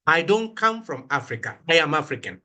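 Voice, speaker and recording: A man from northern Ghana with a Ghanaian accent, giving a slow, reflective prepared talk at a commemoration event, lifting his voice on key words. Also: fairly high pitch, sing-song, bright, forceful tone. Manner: slow, deliberate, with emphatic rises on key words.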